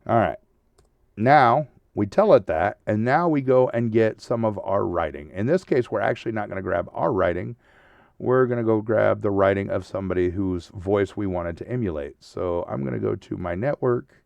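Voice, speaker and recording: A man with a lowish, gravelly voice walks viewers through a tutorial in an informal, step-by-step way. He checks in often as he goes. The sound is dry, with the voice sitting a little away from the mic. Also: normal speed, a little mellow, no echo, far from the mic, highs cut off. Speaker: tutorial host. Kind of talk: casual screen-share tutorial, narrating steps as he goes.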